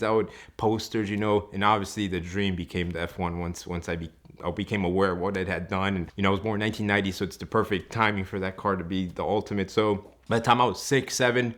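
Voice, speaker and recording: A man in his thirties with a fairly low voice, recounting his own story as a guest on a show. He talks with eager energy, leaning on "you know" and "like" and restarting his phrases as he goes. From Ecuador. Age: 30s. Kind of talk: telling his life story to a host.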